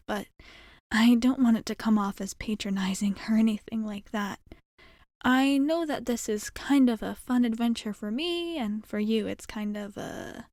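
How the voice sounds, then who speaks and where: A woman playing a friendly, optimistic alien pilot in a soft-spoken ASMR roleplay, reassuring the listener in a hesitant, apologetic way. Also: on the high side, dry recording, studio quality, natural voice. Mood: apologetic, caring.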